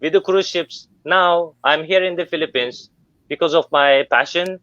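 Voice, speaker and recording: A man's voice: on the high side, normal speed, sharp tone, no room sound. A middle-aged man from Turkey whose first language is Turkish, speaking English with many fillers and restarts as a guest in an online interview. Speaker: guest business owner. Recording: dull lo-fi sound.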